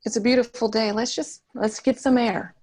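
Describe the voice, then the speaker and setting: A woman's voice: a little high, fairly even intonation, moderate pace, darkish tone, close to an everyday voice. A woman playing a patient, encouraging trauma therapist in a screenplay table read. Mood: gently encouraging.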